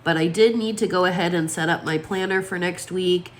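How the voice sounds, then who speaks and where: A woman's voice: fairly low pitch, fairly quick, slight background noise. Froggy-voiced woman chatting over planner stickers. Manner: chatty, lots of fillers, talks while placing stickers. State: froggy voice from allergies. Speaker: planner vlogger. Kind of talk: casual chat while crafting.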